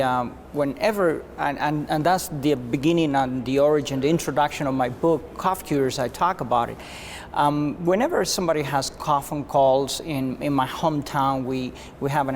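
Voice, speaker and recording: A male doctor from Cuba answers questions in a measured, earnest way, often restarting a sentence midway. He speaks at an ordinary pitch. Faint room noise sits behind him. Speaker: guest physician. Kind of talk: conversational interview answers.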